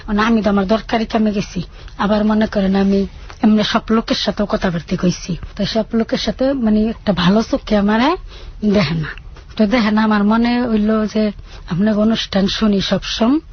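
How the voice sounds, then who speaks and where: A woman's voice: mid-range voice, steady tone, normal speed, a little hiss or hum. A woman with a rural Bangladeshi dialect telling her life story in a plaintive, colloquial way. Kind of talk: emotional personal storytelling. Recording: dull lo-fi radio audio.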